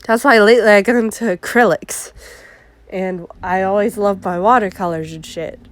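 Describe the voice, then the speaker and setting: A congested woman telling casual, animated stories about her art mishaps as a vlogger. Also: mid-range voice, normal speed. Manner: chatty, animated, acts out her own exclamations. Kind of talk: casual story time.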